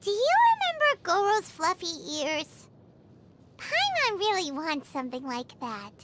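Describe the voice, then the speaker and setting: A woman voicing a tiny, excitable, childlike fairy girl companion in a video game, with a squeaky voice and a bubbly, easily indignant manner. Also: measured pace, heavy background noise, full character voice. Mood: excited, eager. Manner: bubbly, stammering, speaks of self in third person. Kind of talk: video game character dialogue. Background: background music.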